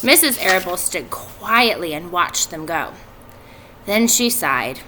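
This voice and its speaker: A woman in her thirties, a teacher reading a storybook aloud to pupils, expressive and clearly phrased. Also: fairly low pitch, a little slow, a little hiss or hum, rough recording. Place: indoors at home by a fireplace.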